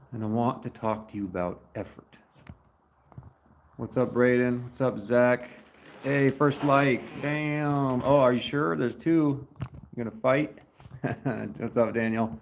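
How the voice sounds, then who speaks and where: A man hosts a relaxed livestream from a garage, greeting viewers by name as he chats. His talk is loose and easygoing. A faint hiss of noise sits under the voice. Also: medium pitch. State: dirty after a day of work. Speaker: livestream host. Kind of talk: casual livestream chat.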